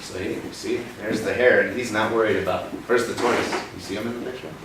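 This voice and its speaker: A man reading a picture book aloud to children, pausing to ask them questions in a patient, coaxing way and checking in with "right?". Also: fairly low pitch, steady tone. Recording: echoey room, distant mic, background noise.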